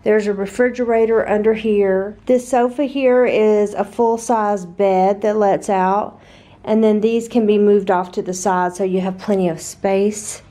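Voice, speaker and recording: A woman vlogging a walk-through of a hotel suite, chatty and upbeat, her voice a little raspy after an overnight flight, recorded on the filming camera indoors. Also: medium pitch, fairly even intonation, a little slow, dark tone. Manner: chatty, upbeat, tour-guide pointing out details. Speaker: travel vlogger. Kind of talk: casual hotel tour vlog.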